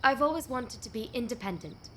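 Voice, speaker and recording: An actress as a proud young woman in a radio play, guarded and bitter, her voice bright and forceful. She speaks in terse, defiant bursts over a clear background noise. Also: a little high, expressive, a little fast. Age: young adult.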